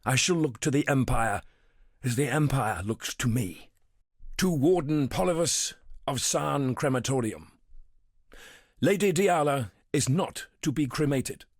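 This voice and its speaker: Proud, formal emperor, slow and measured. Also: male voice, medium pitch, animated, no echo, clean studio sound. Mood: lofty resolve. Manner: formal, declamatory, deliberate. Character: proud, commanding emperor with lofty, formal resolve. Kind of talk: scripted video game monologue.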